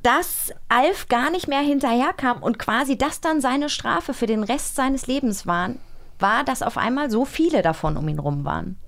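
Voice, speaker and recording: Female host, slightly high pitch, animated melody, playful off-the-cuff banter. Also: normal speed. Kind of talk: casual improvised chat.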